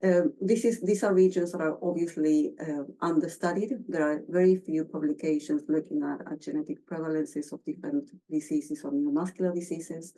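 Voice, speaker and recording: Low-voiced Argentine woman presenting research. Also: steady tone, dark tone, rough recording. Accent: Argentine Spanish. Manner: halting, many fillers and restarts. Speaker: researcher giving a seminar. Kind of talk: academic presentation.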